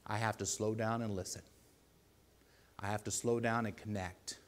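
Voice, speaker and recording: A man with a deep voice preaching a sermon in a church, earnest and pleading, with loud emphatic bursts. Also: fairly even intonation, normal speed. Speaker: lay preacher.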